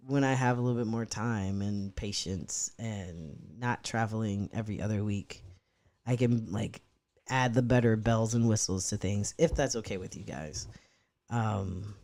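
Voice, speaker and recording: A young man talking casually to his live-stream chat, rambling and cursing freely as he fiddles with his setup. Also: a little mellow. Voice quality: medium-pitched voice, neither deep nor high.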